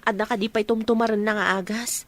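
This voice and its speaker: A woman's voice: on the high side, flat delivery, fast, close to an everyday voice. An actress playing a sympathetic, inquisitive village woman in an Ilocano radio drama, with an Ilocano accent.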